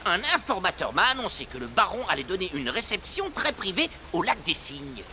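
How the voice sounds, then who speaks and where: An eloquent French cartoon plotter, pitched high with a swooping, lively delivery. The phrasing is flowery and theatrical, over clear background noise. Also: male voice, fairly quick, exaggerated voice. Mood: confident. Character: animated, well-spoken conspirator exposing crooked schemes. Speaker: voice actor. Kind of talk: French animated series dialogue.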